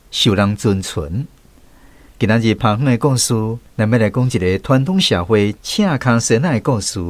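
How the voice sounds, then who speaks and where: Animated Taiwanese man narrating radio stories. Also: lowish voice, no echo. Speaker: radio host and story narrator. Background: background music, plucked guitar.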